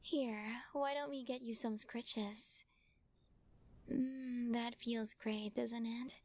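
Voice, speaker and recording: A woman voicing a sweetly possessive witch in an audio roleplay, talking softly and teasingly and often dropping into whispers. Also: on the high side, fairly even intonation, takes it slowly. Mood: doting. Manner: soft, teasing, coaxing, slips into whispers.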